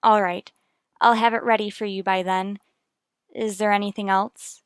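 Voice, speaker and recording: A woman's voice: mid-range voice, level tone, normal speed, clean tone, dry recording, natural voice. An actress playing an anxious but determined young married mother in a vintage radio soap, speaking formally and politely in a dry recording. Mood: guarded, cool.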